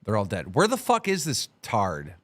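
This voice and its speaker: A man's voice: on the low side, wildly swooping pitch, normal speed, a bit bright. Guy hosting a stream, bossy, voice jumps all over the place. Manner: bossy and impatient. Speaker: livestream host. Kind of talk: casual livestream chat.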